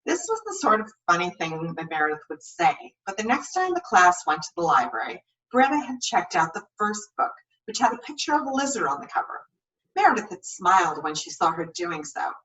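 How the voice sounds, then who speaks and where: Woman, story reader, lively intonation, expressive narration, distant slightly muffled recording. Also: on the low side, fairly quick. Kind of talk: expressive scripted narration of a novel.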